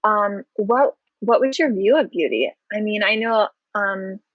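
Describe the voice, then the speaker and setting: A woman's voice: mid-range voice, measured pace, no room sound. Enthusiastic woman host on a dull line. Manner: enthusiastic, frequent exclamations. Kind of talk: casual interview chat.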